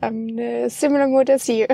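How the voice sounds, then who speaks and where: Woman guest, slightly high, gravelly, relaxed and hesitant. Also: normal speed. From Austria. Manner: relaxed, friendly, hesitant with fillers. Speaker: interview guest, English student. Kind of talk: casual interview chat.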